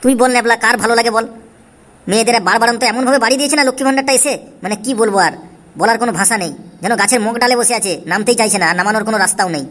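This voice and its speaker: A man voicing a disgruntled, coarse husband in an amateur comedy cartoon, grumbling and hectoring, with artificially raised pitch. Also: very fast, fairly bright tone. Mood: bitter, grumbling. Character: disgruntled, bitter husband railing at his family. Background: faint music.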